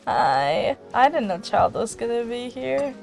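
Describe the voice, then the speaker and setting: A woman giving casual, giggly and excitable reaction commentary while playing a video game. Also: a little high, a little slow, creaky, soft background music. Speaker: gaming streamer.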